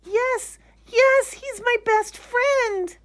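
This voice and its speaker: A woman's voice: extremely high pitch, drawn-out words, fairly bright tone, pitched above the performer's own voice, heavily acted. A woman voicing an eager, affectionate little girl in a storybook, with an exclamatory, heartfelt delivery. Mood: overjoyed.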